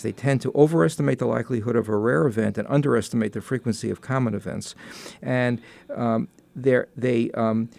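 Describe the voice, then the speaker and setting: A man with a New York accent hosting a radio finance talk show, measured and full of ums. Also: mid-range voice, vocal fry, darkish tone, dry recording. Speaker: radio host.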